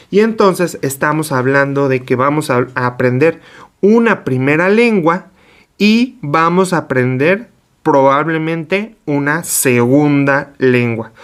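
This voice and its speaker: A man's voice: on the high side, a bit bright. Man explaining a lesson. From Mexico. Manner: asks himself questions and answers them, with small self-corrections. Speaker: teacher trainer. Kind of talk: explanatory online lecture.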